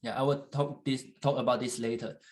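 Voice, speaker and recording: A man preaching in English, which is not his first language, pausing often with fillers and restarts while an interpreter translates. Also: medium pitch, flat delivery, a little mellow. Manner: halting, with frequent fillers and restarts. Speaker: guest church leader. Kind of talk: sermon in English with live interpretation.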